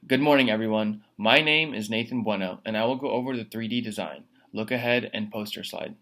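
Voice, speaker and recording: A young man with a fairly low voice presents slides. He follows a script as a student presenter in a project talk. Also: fairly quick, sharp tone. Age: young adult.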